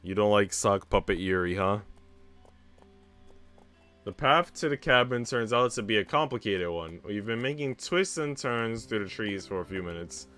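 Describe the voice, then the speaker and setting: A man's voice: lowish voice, animated, normal speed, fairly bright tone, soft background music. A man streaming a visual novel, reading it aloud and breaking off for informal, slangy comments. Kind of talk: visual novel read-aloud with casual asides.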